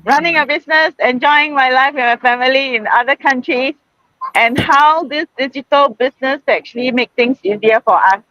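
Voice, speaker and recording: Singapore woman hosting a live, high voice, chatty and laughy, dry close sound. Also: moderate pace, bright, forceful tone, dry recording, lo-fi sound. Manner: chatty and laughing, with many fillers and restarts. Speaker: host of a group live stream. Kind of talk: casual live-stream chat. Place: out in a busy shopping mall.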